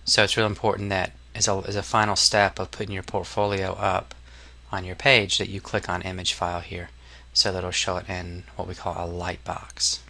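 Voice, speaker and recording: A man narrating a casual software tutorial as an instructor, explaining step by step in an informal, somewhat hesitant way. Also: low voice, little pitch movement, moderate pace, vocal fry, hardly any pauses.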